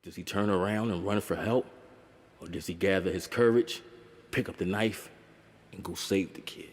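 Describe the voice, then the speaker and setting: A man's voice: low voice, fairly even intonation, moderate pace, big-room sound. A man narrating a film breakdown video essay in a wry, conversational way with blunt, profane asides.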